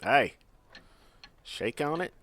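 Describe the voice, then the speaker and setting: A man's voice: medium pitch, animated, takes it slowly, fairly bright tone. A man playing a skeptical but jovial Old West mine boss in an audio drama, folksy and a little condescending. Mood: intrigued.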